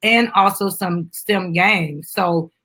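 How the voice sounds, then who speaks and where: A woman's voice: fairly low pitch, slow, fairly bright tone, breathy edge. A woman chatting casually to viewers as she hosts a live stream and promotes a children's book. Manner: chatty, addresses viewers as guys.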